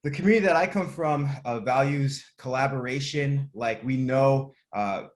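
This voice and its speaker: A man's voice: mid-range voice, normal speed. A man from California answering as an interview guest, casual and reflective, often searching for words. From Monterey area, California.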